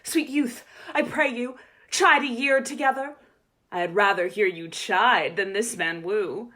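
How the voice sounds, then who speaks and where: Woman doing snooty young shepherdess, shakespeare radio play, bright sharp voice, very theatrical. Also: mid-range voice, measured pace. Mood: smitten. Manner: haughty, theatrical.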